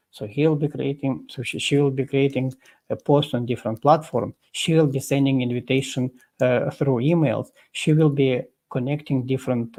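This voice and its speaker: Halting man explaining with many fillers. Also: mid-range voice, a little mellow. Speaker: guest expert. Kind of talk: explaining in a live interview. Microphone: video call.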